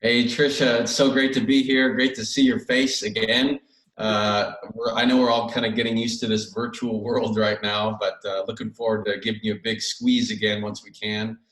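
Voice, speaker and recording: A man's voice: fairly low pitch, little pitch movement, moderate pace, a bit bright. A man speaking as a guest on an online call, telling his own story in a casual, loosely phrased, conversational way. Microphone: video call, away from the mouth. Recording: roomy, distant microphone on online call.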